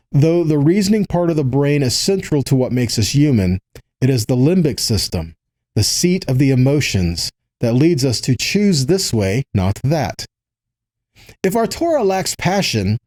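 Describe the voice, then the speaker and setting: A man giving a Bible teaching, reading quotations and commenting on them, pausing to repeat key lines for emphasis. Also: a little high, mellow.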